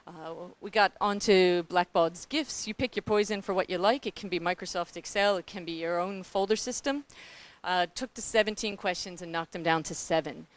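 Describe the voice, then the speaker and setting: A middle-aged woman from New Zealand with a New Zealand accent, speaking on a conference panel from a grantmaking foundation in a blunt, candid, animated way, with asides to the audience. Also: lowish voice.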